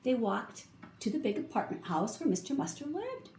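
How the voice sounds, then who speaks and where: A woman reading a children's picture book aloud, with expressive, drawn-out emphasis for young listeners. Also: on the high side. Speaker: storybook reader. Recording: roomy echo, faint background noise.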